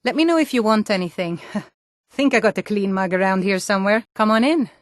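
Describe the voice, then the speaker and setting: Actress as northern fantasy guardswoman, mid-pitched, firm, earthy, direct, dry studio sound, video game dialogue. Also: lively intonation, brisk, no echo, lightly acted. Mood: welcoming. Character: sturdy Nord townswoman and guard, stern but plain-spoken.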